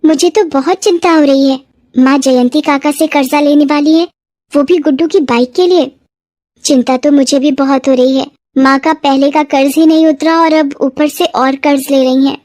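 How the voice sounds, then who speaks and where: A woman's voice: high-pitched, steady tone, normal speed, a little mellow, no echo, clean studio sound, lightly acted. A woman voicing an earnest, emotional young stepdaughter, dutiful but brave, in a moral story. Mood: worried.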